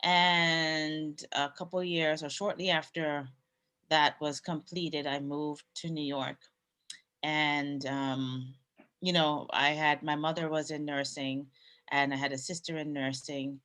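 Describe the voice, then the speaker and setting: Woman, low voice, grew up in canada, relaxed storytelling, nurse guest. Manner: relaxed, thoughtful, with fillers and pauses. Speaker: guest, nurse and business owner. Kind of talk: casual interview storytelling.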